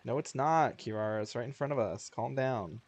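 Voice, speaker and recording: A man's voice: medium pitch, brisk, a crowd in the background. A man livestreaming a video game in casual, slangy, joking and self-deprecating chat. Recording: game music behind the voice. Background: video game music.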